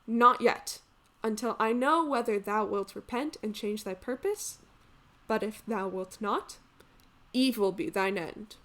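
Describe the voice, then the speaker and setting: A woman playing a betrayed, wretched male exile in a Greek tragedy monologue, declaiming archaic lines in drawn-out anguish. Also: mid-range voice, fairly bright tone, lightly acted. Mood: grim, vengeful. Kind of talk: theatrical classical tragedy monologue.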